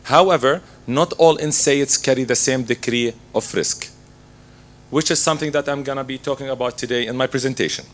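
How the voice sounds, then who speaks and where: Man, hoarse with laryngitis, pharmacist lecturing, methodical, mid-pitched voice, lecture room. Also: fairly quick, fairly bright tone. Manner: methodical, reading through slides. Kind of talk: medical lecture.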